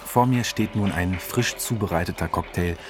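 A man's voice: low-pitched, moderate pace. A man speaking as a radio host in a conversational presenting style. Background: music.

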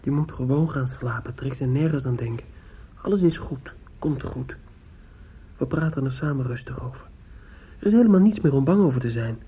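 A man's voice: medium pitch, normal speed, dark tone, close to an everyday voice. An actor playing an anxious, tender young man in a radio drama, with an earnest, hesitant delivery. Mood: tender, worried. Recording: dull, noisy old radio drama recording.

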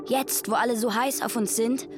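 A woman's voice: a little high, fairly even intonation, moderate pace, over loud music, natural voice. An actress playing a guarded, defiant young woman activist in a radio drama. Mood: defiant, sarcastic. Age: young adult. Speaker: actor. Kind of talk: radio drama dialogue and monologue.

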